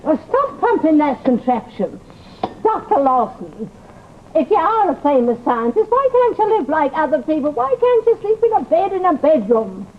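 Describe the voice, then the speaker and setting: An actress playing a nagging, excitable housekeeper in old-time radio drama, with a scolding, chattery manner. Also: female voice, high voice, moderate pace. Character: nagging, excitable female housekeeper, outspoken and exasperated. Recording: muffled, noisy old radio recording.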